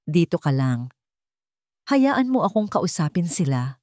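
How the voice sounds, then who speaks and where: A woman's voice: on the low side, sing-song, measured pace, darkish tone, no room sound, studio quality. A woman voicing a determined heroine who steadies herself against fear in an audio love story. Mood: resolute.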